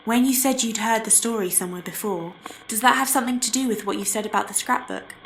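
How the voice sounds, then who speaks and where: An actress plays a young woman investigating in a horror game, asking direct questions in a fairly high voice. There is clear noise behind her. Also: fast, close to an everyday voice, rough recording. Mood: inquisitive. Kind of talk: horror game dramatic acting.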